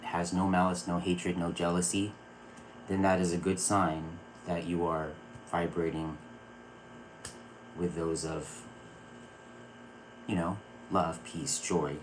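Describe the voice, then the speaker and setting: A man from Virginia hosting a spiritual live stream, talking earnestly and calming his viewers with a repeated "okay". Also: deep voice, slow, long pauses, noisy background. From Virginia, USA. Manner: earnest, repeats words and restarts phrases. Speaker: psychic circle host. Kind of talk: live-stream spiritual talk answering chat.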